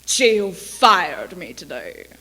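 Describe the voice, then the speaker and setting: A woman playing a brash female Hollywood agent in a dramatic stage monologue, speaking deliberately with long pauses. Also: on the low side, sing-song, bright, forceful tone, exaggerated voice, poor audio. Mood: wistful. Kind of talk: theatrical dramatic monologue for speech competition.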